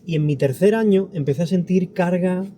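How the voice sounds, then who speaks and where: Very dark-voiced man from Spain. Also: high voice, brisk, a little hiss or hum. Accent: Spanish from Spain. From Andalusia, Spain. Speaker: interview guest, pastor. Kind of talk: conversational interview answers.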